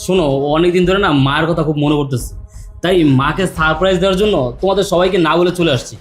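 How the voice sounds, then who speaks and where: A man's voice: high-pitched, steady tone, racing, soft background music, close to an everyday voice. A man playing a devoted son back from abroad, who talks in an insistent, pleading way. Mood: puzzled.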